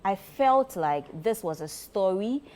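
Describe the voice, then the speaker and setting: A woman's voice: medium pitch, animated, slow pace, mellow. A woman with a Ghanaian accent chatting casually as a television interviewer. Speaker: TV interviewer, co-host. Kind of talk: casual chat.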